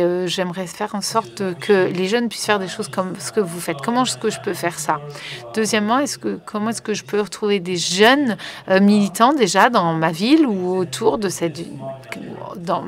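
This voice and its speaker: A woman working as a conference interpreter, relaying a panel live with frequent fillers and restarts. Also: mid-range voice. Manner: hesitant, many fillers and restarts.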